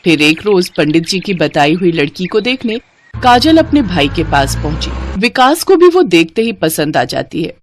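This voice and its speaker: A woman's voice: on the low side, very animated, moderate pace, a little hiss or hum. A woman narrating a scripted children's moral story as the storyteller.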